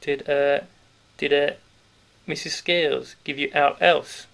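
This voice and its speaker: A man's voice: a little high, steady tone, slow pace, gravelly, fairly bright tone, pitched above the performer's own voice, exaggerated voice. A man voicing a stout, sly, cheerful male wartime grocer with a Yorkshire accent, in a knowing, conspiratorial manner. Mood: hushed.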